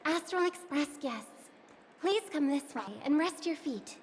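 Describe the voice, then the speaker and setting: An actress voicing a gentle young celebrity singer in a video game, sweet, poised and courteous. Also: female voice, high voice, animated, measured pace, a little hiss or hum. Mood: poised charm. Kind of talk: dubbed video game dialogue. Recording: game audio under loud music.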